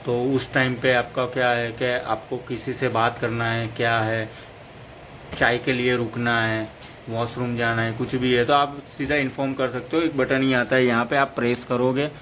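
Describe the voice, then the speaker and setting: A man talks through a gadget demo in a flat, even tone, rambling from point to point. His voice is mid-pitched and sits over faint background ambience. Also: slight background noise, poor audio. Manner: rambling, repeats "plus" between points. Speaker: motorcycle vlogger. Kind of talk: casual product demo.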